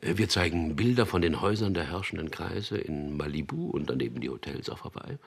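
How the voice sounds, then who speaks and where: An actor playing a wry, weary propaganda copywriter in a German radio drama, speaking in a dry, ironic way. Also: male voice, low-pitched, animated, brisk, full character voice. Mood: teasing banter. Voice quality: husky, gravelly. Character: wry, weary male propaganda copywriter, narrating his story. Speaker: lead actor and first-person narrator.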